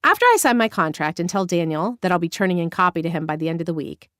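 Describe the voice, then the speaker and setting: A woman from Northern California narrating her own memoir as an audiobook, candid and expressive, on a close studio mic. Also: fairly low pitch, fast, no room sound. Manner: expressive, candid first-person storytelling.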